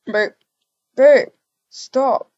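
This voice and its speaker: A woman's voice: high-pitched, extremely slow, darkish tone, highs cut off. A woman voicing a pleading, apologetic cheating husband in an amateur game roleplay. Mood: submissive. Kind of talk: amateur voiced Roblox roleplay.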